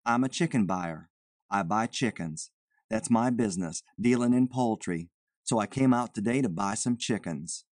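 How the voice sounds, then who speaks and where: A woman's voice: extremely low pitch, moderate pace, a little mellow, dry recording, clean studio sound, pitched below the performer's own voice. A woman voicing a nervous, evasive man who poses as a chicken buyer, with folksy, ungrammatical, rambling talk. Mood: uneasy, hedging.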